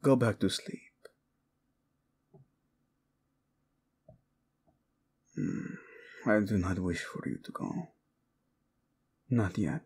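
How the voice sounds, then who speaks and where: A man's voice: low voice, darkish tone, exaggerated voice. A man playing a possessive, devoted prince in a romantic audio roleplay, murmuring formal words with Spanish endearments to his wife. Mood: tender, possessive. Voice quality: husky, gravelly, breathy in places.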